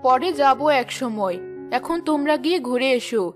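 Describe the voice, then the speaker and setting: A woman playing a kindly older mother-in-law who gently chides and advises in a Bengali cartoon. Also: high-pitched, animated, normal speed, music in the background. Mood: gently advising.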